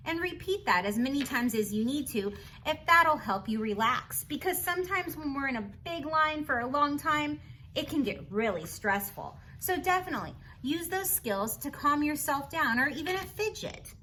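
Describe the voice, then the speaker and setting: A woman's voice: high-pitched, lively intonation, moderate pace, pushed and bright, a little hiss or hum. A woman reading a picture book aloud to young children, adding chatty asides in an encouraging, instructional manner. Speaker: children's read-aloud host.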